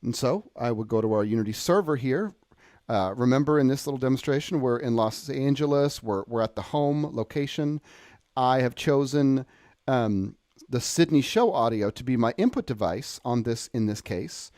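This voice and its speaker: A man walks through a technical demonstration in an informal, explanatory style. His delivery is unpolished and a little apologetic, as if thinking aloud. He has a middling pitch, and the sound is close and dry, with very little room. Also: expressive, normal speed, a little mellow, no room sound. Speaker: presenter demonstrating audio software. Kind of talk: informal tutorial walkthrough.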